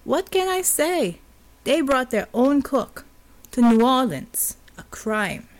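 A woman's voice: sits high, big pitch swings, slow pace, voice pushed up, exaggerated voice. A woman voicing an expansive, theatrical Jamaican man in an audiobook story. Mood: wry.

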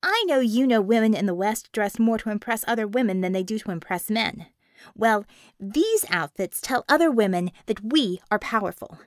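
A woman's voice: medium pitch, lively intonation, normal speed, dry recording, clean studio sound, lightly acted. A woman voicing a confident, sly superheroine leader in an audiobook, with an assured, coaxing and emphatic delivery. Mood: emphatic persuasion.

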